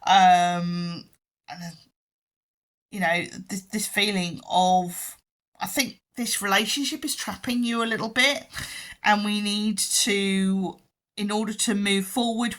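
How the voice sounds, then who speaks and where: A woman giving a pick-a-card tarot reading in a gentle, halting way, full of ums and restarts. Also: mid-range voice. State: croaky voice and yawning. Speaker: tarot reader.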